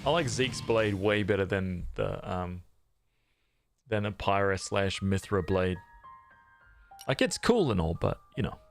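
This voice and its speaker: A man's voice: fairly low pitch, lively intonation, measured pace, vocal fry, pauses often, faint music behind. A man streaming games, chatting casually in a laid-back, informal way into a close microphone. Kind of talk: casual livestream chat.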